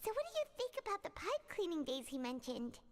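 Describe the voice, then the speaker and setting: A woman voicing a small, chatty, bossy fairy companion in a video game, with a squeaky childlike voice. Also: wildly swooping pitch, quick pace, full character voice. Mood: pensive, conspiring. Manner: refers to herself in third person. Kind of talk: video game character acting.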